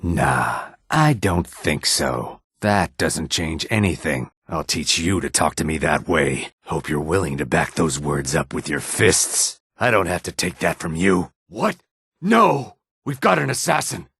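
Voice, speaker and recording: Gruff lizard-man, game character, gravelly fry, unhurried, wary, streetwise, raspy. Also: deep voice, animated, fairly bright tone, no room sound, clean studio sound, exaggerated voice. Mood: alarmed, angry. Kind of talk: video game character dialogue lines.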